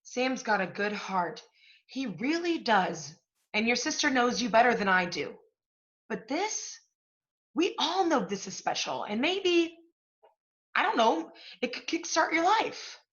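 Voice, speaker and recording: Brash female agency boss, played by a stage actress: forceful, highish, profane and pushy, theatrical delivery, dulled recording. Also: very animated, a little slow, highs cut off. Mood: persuasive. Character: brash, scheming, fast-talking literary agency boss woman. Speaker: stage actor. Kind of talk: theatrical one-act stage play acting.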